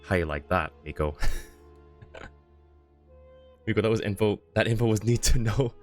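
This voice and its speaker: Deep voiced guy streaming a game, goofy mock drama, chatting casually, music behind. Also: moderate pace. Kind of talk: casual live game commentary, chatting with viewers.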